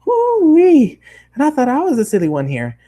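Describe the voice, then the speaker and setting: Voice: sits high, huge pitch swings, normal speed, warm tone, pitched above the performer's own voice. A narrator voicing a bubbly, hyperactive young female pony baker who gushes and chatters nonstop in a cartoon story. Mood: cheerful, gushing. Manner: gushing, squealing, breathless rambling chatter.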